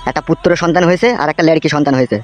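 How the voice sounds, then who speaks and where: A man's voice: on the high side, very fast, faint music behind, natural voice. A man voicing a blunt, foul-mouthed, world-weary young henchman in an amateur comedy cartoon. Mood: bitter storytelling. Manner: blunt, swearing, rural colloquial talk.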